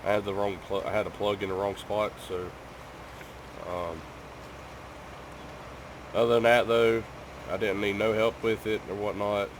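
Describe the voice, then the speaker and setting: Sick, rambling low-voiced man. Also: little pitch movement, a little slow, pauses often, background noise. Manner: rambling, many ums and restarts. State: sick with a cough, voice off. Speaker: gaming YouTuber. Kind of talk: casual chat to viewers.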